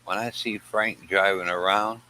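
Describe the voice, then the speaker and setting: A man's voice: low voice, steady tone, unhurried, pushed and bright, rough recording. A man chatting informally as a guest on a live stream, often repeating himself. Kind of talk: casual chat.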